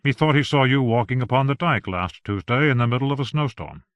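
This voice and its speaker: A reserved gentleman husband, voiced by a man, measured and subdued. He sits at a middling pitch, close to the reader's own voice. The sound is dry and close-miked. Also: expressive, no echo.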